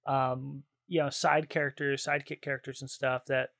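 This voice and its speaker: A man's voice: mid-range voice, little pitch movement, moderate pace, creaky, a bit bright, dry recording. Casual man co-hosting a podcast, dry sound. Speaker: podcast co-host. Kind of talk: casual chat about games and films.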